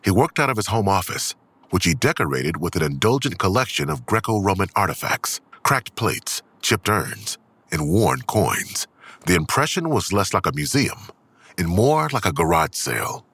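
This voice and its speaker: Deep, husky male narrator. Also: very animated, normal speed, a bit bright, heavily acted. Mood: wry. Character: wry, measured male storyteller narrator. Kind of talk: scripted audio drama narration.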